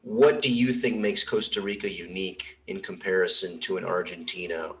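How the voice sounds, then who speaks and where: American male host, low voice, rambling chatty questions over a video call. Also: fairly even intonation, normal speed, sharp tone, low-quality recording. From United States. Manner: chatty, long winding questions. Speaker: podcast host. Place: San Jose.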